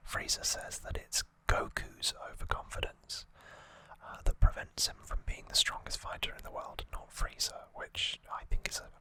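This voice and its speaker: An ASMR host speaking in a gentle, halting, unvoiced whisper throughout. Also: poor audio. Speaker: host of an anime trivia channel.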